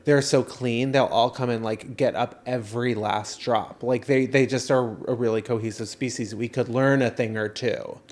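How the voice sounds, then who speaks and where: A man with an average-pitched voice chats as a podcast co-host. He tells a comic story in a casual, stop-and-start way, padding it with fillers and dry little jokes. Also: fairly even intonation, normal speed, hardly any pauses.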